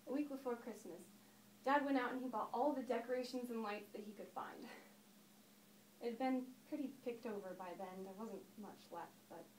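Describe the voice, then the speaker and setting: A woman playing an upset woman recalling her childhood in a dramatic stage monologue, emphatic and with deliberate pauses. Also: on the high side, darkish tone, close to an everyday voice. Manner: emphatic, storytelling with deliberate pauses and swelling stress. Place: echoey indoor room, recorded from a distance. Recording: echoey room, distant mic, noisy.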